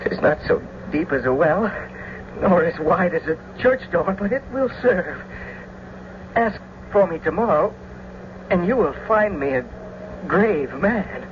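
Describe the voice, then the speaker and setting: A mocking, quarrelsome young swordsman in an old radio Shakespeare play. The delivery is theatrical and unhurried, pitched high, with noisy, boxy sound. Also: animated, lo-fi sound. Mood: wounded, bitter. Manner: theatrical, taunting. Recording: noisy, band-limited old radio recording.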